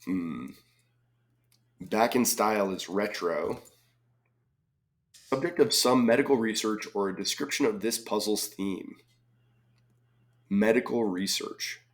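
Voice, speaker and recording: A man with a lowish voice, a little hoarse from being sick, talks slowly and unhurriedly. He reads clues aloud and muses over his guesses in a relaxed, thinking-aloud way. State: slightly hoarse from a cold. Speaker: crossword solving video host. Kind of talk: casual live solve, thinking aloud.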